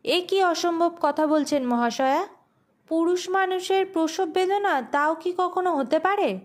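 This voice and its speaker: Lady reading as a demanding folk tale king, teasing, pretty high voice. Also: unhurried. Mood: astonished.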